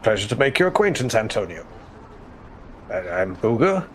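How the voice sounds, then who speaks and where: A man's voice: fairly low pitch, sing-song, normal speed, a bit bright, a little hiss or hum. A man playing in a tabletop game, speaking casually in character with a dry, courteous manner.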